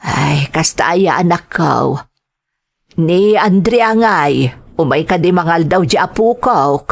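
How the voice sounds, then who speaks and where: Audio drama role: elderly motherly figure, lower register, unhurried, drawn-out lines full of gentle concern woman performer. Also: expressive, gravelly, heavily acted. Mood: tender. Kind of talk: dramatized radio drama acting.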